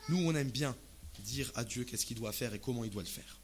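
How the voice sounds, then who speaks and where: A man from Alsace preaching a sermon in casual, expressive, conversational language, often asking rhetorical questions. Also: mid-range voice, brisk. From Alsace, France. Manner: expressive, uses rhetorical questions and acted-out asides.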